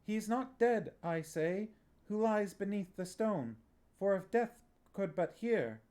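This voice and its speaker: A man's voice: high voice, animated, slow pace, darkish tone, voice pushed up. A man reading aloud, voicing a boy who recites tragic verse in a declamatory way. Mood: mournful.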